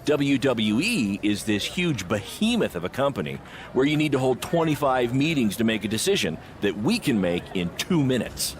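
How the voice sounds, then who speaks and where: An actor playing a confident, persuasive small-time wrestling promoter in scripted game dialogue. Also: male voice, mid-range voice, sing-song, moderate pace, slight background noise, close to an everyday voice.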